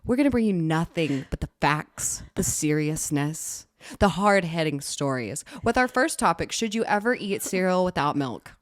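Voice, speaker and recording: A woman's voice: on the low side, lively intonation, moderate pace. A woman co-hosting a podcast in casual chat, slightly nasal from a sinus infection, wry and self-deprecating, swearing casually. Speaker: podcast co-host.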